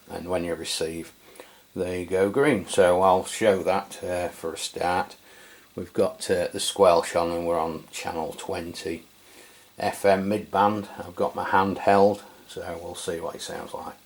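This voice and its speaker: A man's voice: sits low, fairly even intonation, a little slow, gravelly. A middle-aged man giving a casual, chatty walkthrough of a radio, with frequent fillers and self-corrections. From England. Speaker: radio equipment reviewer. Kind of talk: casual product review walkthrough.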